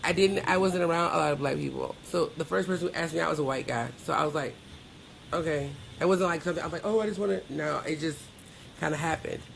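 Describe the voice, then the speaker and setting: Middle-aged American woman, low creaky voice. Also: fast, slight background noise, low-quality recording. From Georgia, United States. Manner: emphatic, colloquial. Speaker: interview guest. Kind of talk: candid personal storytelling.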